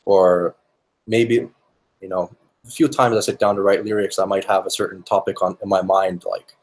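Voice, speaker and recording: A young man with a fairly low voice, raised speaking both Finnish and English, talks through his answers in an interview. He pauses often and restarts his sentences as he thinks aloud. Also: little pitch movement. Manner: thoughtful, with many fillers and restarts. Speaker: musician guest.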